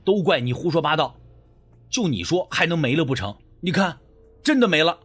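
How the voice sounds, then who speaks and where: A man's voice: fairly high pitch, lively intonation, fairly quick, a bit bright, breathy edge, soft background music. A man playing a stubborn, suspicious young man in an audio story, brooding and scolding. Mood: accusing.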